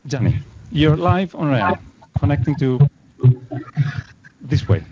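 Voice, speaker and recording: Male tour guide, mid pitch, hesitant, muffled audio with clear background noise. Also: big pitch swings, slow pace. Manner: hesitant, lots of fillers. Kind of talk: live virtual tour.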